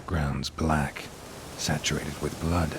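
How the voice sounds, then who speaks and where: A very deep-voiced, gruff monster hunter from a video game, terse and world-weary. He barely varies his tone, murmuring deadpan in clipped sentences. Steady rain noise sits behind the gravelly voice. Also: slow pace. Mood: grim, observing.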